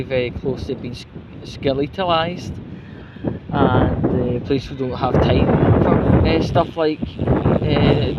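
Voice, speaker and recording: A British man giving a casual, rambling, sweary vlog rant to viewers in an indignant, sarcastic manner. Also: medium pitch. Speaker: vlogger. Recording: dull lo-fi recording with loud outdoor traffic noise.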